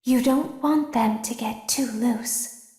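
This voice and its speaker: Woman plays a cruel animatronic girl, whispered threats, unhurried and high-set, echoing space. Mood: menacing whisper. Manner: soft menacing whisper. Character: sinister girlish female animatronic, calm and cruel. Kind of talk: fan voice acting of game lines.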